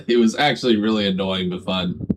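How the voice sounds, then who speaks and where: A man's voice: fairly low pitch, moderate pace. A man doing casual vlog commentary, swearing a lot and tacking "bro" and "dude" onto his lines. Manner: profane, slangy, keeps adding "bro" and "dude". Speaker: online video creator.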